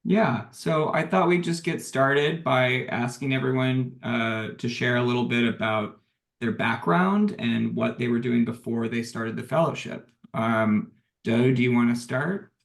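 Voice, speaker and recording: A male moderator with a mid-pitched, gravelly voice leads a video call Q&A. He speaks calmly and prompts each guest in turn. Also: measured pace. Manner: calm, prompting questions.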